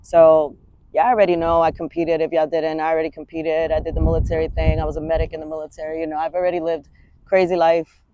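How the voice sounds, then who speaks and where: A woman's voice: sits low, level tone, fast talker, mellow, highs cut off, a little hiss or hum. A woman, a fitness coach talking candidly in a rambling, casual vlog and swearing freely. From Washington Heights, New York. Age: 30s.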